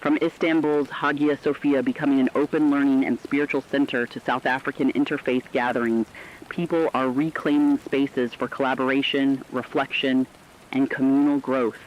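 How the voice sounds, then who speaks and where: A man playing an earnest radio news correspondent, reading scripted reports in a formal, measured way. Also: medium pitch, steady tone, dull audio, a little hiss or hum, natural voice.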